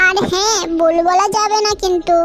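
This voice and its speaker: Squeaky, very high cheeky little bird. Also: female voice, steady tone, fairly quick, music in the background. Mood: triumphant. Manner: sing-song, teasing, rhyming. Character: small, cheeky, song-loving tailorbird girl, cocky trickster. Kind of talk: children's cartoon voice acting.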